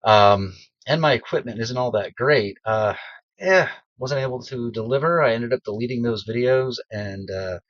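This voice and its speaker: A man's voice: medium pitch, moderate pace, a bit bright. A middle-aged man talks casually and self-deprecatingly, stopping on plenty of ums, his voice still stuffy from a throat infection. He records in a small cedar closet with no echo at all. The sound is slightly muffled and lo-fi. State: congested, recovering from strep throat. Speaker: beginner voice actor. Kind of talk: casual personal vlog.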